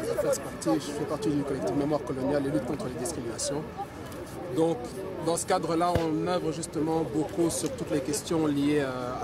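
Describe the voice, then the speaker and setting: A man giving a reflective interview answer as an activist, measured and articulate, listing his points outdoors. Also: mid-range voice. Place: outdoors in a city park. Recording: outdoor, very noisy urban crowd.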